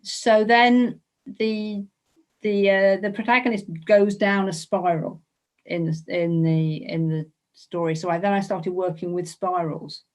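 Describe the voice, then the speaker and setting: A woman's voice: mid-range voice, fairly even intonation, measured pace. A woman being interviewed as an illustrator, talking casually about her work and thinking aloud with hesitant, repeated phrases. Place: online video call.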